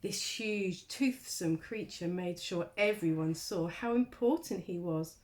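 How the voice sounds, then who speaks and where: A woman reading a children's storybook aloud like a primary school teacher, expressively, with dramatic pauses and emphasis. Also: fairly low pitch, a little mellow.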